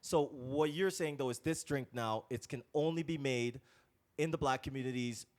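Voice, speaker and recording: A man's voice: medium pitch, normal speed. Man hosting a casual podcast interview. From Guyana. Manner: rambling, thinks aloud with many fillers. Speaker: podcast host. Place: lives in Colombia.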